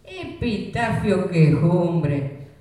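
An actor playing a wry, deadpan gaucho countryman with a Rioplatense accent in an amateur radio play. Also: mid-range voice, drawn-out words, distant mic. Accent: Rioplatense Spanish. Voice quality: dry, deadpan humor. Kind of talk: amateur radio drama acting. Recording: echoey room, off-mic.

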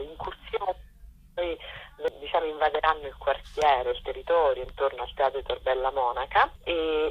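Woman, festival director, husky voice, hesitant delivery, informal interview, muffled telephone sound. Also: sits low. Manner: hesitant, searching for words. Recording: dull lo-fi telephone line.

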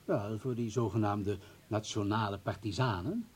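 Husky, insinuating party informer. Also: male voice, lowish voice. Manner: measured, insinuating, polite. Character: insinuating, officious male party informer, coldly polite. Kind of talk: radio play acting.

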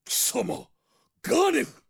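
An actor playing a loyal, stern older knight retainer in a fantasy audio drama, with formal, earnest, dramatic speech. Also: male voice, very high voice, wildly swooping pitch, quick pace, vocal fry, breathy edge, heavily acted. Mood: defiant, urgent.

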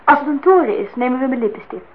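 Plagerige jonge vrouw, hoge stem. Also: female voice, high voice, expressive, quick pace. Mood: teasing, challenging. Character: cool, teasing, independent young woman visitor. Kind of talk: naturalistic radio drama dialogue. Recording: noisy, phone-like old radio recording.

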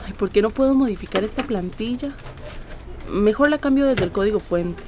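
An actress playing a friendly, caring young internet café worker in a radio drama, with a Costa Rican accent. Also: female voice, medium pitch, animated, normal speed, dark tone, faint music behind, natural voice. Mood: busy, concerned. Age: young adult. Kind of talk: naturalistic radio drama acting.

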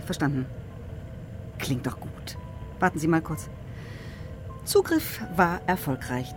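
An actress playing a tough, hot-tempered police investigator who is blunt, commanding and sarcastic, in a radio crime drama. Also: female voice, lowish voice. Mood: brisk, businesslike.

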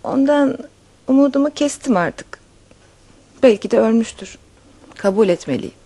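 A high-pitched countess in a radio play. She is passionate and determined, speaking with emotive, affectionate pleading to the man she loves. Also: animated, normal speed, dark tone. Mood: resigned. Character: passionate countess awaiting a child with her lover, hopeful, determined. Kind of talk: radio theatre acting.

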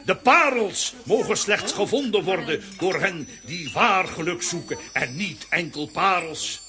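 A grumpy undersea king in a children's audio play. His voice sits high and rings out brightly. He blusters and declaims like an exasperated father on a throne. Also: lively intonation, a little slow, faint music behind, full character voice. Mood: solemn, regretful. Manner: theatrical, declamatory. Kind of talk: children's audio drama acting.

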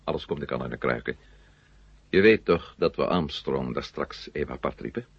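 An actor playing a secretive, controlled astronaut conspirator in a radio play, husky and gravelly, with a measured, authoritative manner. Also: male voice, very deep voice, expressive, no echo, full character voice. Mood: calm, assured. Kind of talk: 1970 Dutch radio play acting. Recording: dull, muffled old radio recording.

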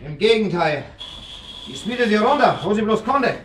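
A glib, worldly man of the club set in a naturalistic German radio play. His voice sits fairly high, and he rambles condescendingly, breaking off to mutter orders to an animal. There is faint noise in the recording. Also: big pitch swings, normal speed, poor audio. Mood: disparaging. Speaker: actor in a radio drama.

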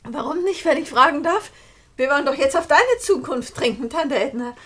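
An actress playing a put-upon, resentful niece in a radio play, speaking with a curt, dryly pointed manner. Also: female voice, sits high, normal speed, fairly bright tone. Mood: pointedly sarcastic. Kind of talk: German radio play acting.

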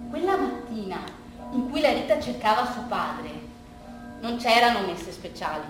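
A woman performing a colloquial, bittersweet theatrical monologue, telling it in a halting, conversational way with hushed asides. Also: on the high side, big pitch swings, music in the background. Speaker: monologue actress and narrator. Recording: very roomy, distant stage recording, faint noise.

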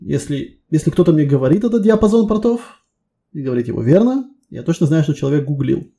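A man's voice: fairly high pitch, very animated, quick pace, vocal fry, warm tone. A man working as a technical interviewer, giving casual feedback in a reassuring, encouraging way.

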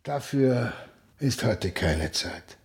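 Somber, courteous old café owner. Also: male voice, mid-range voice, expressive, drawn-out words, breathy edge, exaggerated voice. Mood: quiet, resigned. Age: older. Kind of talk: literary radio drama acting.

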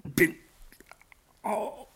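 An actor in a 1960s German radio drama playing a curt, volatile retired gangster who longs to be a gentleman. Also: extremely slow. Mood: wounded, failing. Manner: curt, forceful.

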